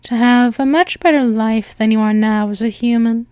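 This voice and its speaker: A woman's voice: a little high, moderate pace, warm tone, clear, resonant tone, no room sound, close to an everyday voice. A woman voicing an ancient, seductive female vampire mistress in a hypnosis roleplay, talking in a soothing, coaxing way. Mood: hypnotic.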